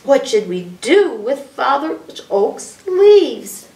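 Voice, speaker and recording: A woman voicing an excitable, curious young male animal child in a storybook, eager and full of questions. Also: sits high, takes it slowly, heavily acted. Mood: worried, curious. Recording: roomy echo, distant microphone.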